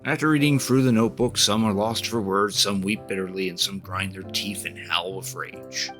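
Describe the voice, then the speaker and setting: Deep-voiced man reading game text. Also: fairly even intonation, normal speed, fairly bright tone, soft background music. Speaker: streamer. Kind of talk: reading game text aloud and commenting on play.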